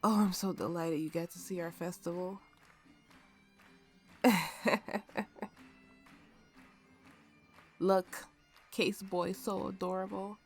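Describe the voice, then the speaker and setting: A woman's voice: on the low side, level tone, a little slow. A woman voicing a drunken, playful and imperious warrior woman in an ASMR roleplay. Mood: delighted, tipsy. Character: tipsy, haughty, flirtatious warrior woman with a slave. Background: soft background music.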